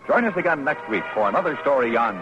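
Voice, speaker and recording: An American man announces in 1950s radio style. His pitch moves widely over a middle register. The old broadcast is muffled, with faint music. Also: big pitch swings, fast.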